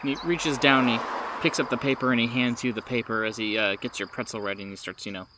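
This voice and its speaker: A man improvising narration as the game master of a tabletop game in a halting, conversational way. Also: medium pitch, steady tone, background noise.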